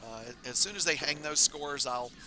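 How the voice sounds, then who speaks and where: A man commentating a curling tournament stream in a casual, rambling way, his voice raspy and hoarse from talking all weekend. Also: a little high, moderate pace, a little hiss or hum, rough recording. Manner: relaxed, rambling, many fillers. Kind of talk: casual live sports commentary. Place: curling club.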